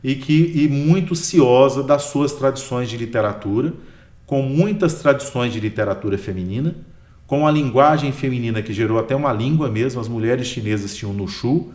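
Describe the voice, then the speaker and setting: A man's voice: mid-range voice, normal speed, a little mellow. A man with a Brazilian Portuguese accent, a history professor explaining his work in an interview, who tags most sentences with a questioning "né?". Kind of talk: relaxed explanatory talk. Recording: roomy echo.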